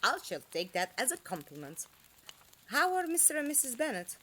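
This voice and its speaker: A woman playing a spirited, self-possessed traveller in an audio drama, speaking in a measured, questioning way. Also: fairly high pitch, very animated, pushed and bright, a little hiss or hum. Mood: composed, challenging.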